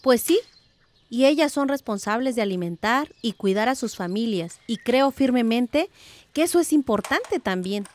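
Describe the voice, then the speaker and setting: A woman's voice: a little high, lively intonation, moderate pace, darkish tone, lightly acted. A woman playing a thoughtful, determined middle-aged village aunt in a radio drama, speaking earnestly and reflectively.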